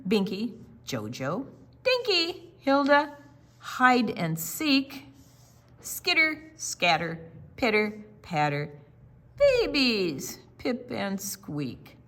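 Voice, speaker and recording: A woman's voice: on the high side, sing-song, drawn-out words, fairly bright tone. A woman reading a picture book aloud to young children, expressively, with emphatic words and little explanations along the way. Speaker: children's storytime reader.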